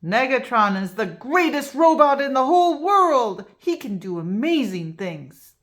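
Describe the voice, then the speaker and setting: Woman doing a loud show-off schoolboy. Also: high voice, big pitch swings, unhurried, voice pushed up, full character voice. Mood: boastful. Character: boastful young schoolboy, show-off and teasing.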